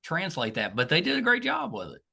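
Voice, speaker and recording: A man's voice: high-pitched, huge pitch swings, fairly quick, vocal fry, sharp tone, breathy edge. A middle-aged man hosting a podcast, chatting casually and agreeably. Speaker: podcast host. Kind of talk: casual chat.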